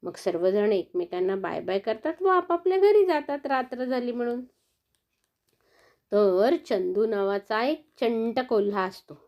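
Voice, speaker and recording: Woman storyteller, slow unhurried pace, fairly high voice, sing-song and coaxing, dull lo-fi sound. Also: lively intonation. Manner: sing-song, coaxing, talks directly to children. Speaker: storyteller for children. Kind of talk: bedtime story narration.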